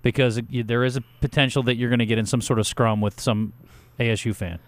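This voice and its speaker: A middle-aged male sports radio host with a loud voice and a joking, opinionated way of talking. He talks fast, and his voice sits close and dry on the studio mic. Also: mid-range voice, steady tone, no room sound. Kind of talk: casual sports radio banter.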